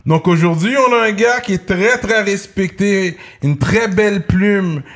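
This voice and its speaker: A man with a Quebec French accent hosting a casual rap interview, slangy and relaxed, stretching his words for emphasis. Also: sits high, measured pace. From Quebec, Canada. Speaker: host.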